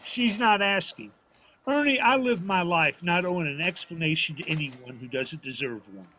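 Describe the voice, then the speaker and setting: A man's voice: a little high, normal speed, sharp tone, poor audio. An actor playing a stubborn, proud, plainspoken old father from a small town, folksy and prickly, in an audio drama. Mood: firm, proud. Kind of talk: naturalistic audio drama acting at a live reading. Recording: faint noise of live stage performance.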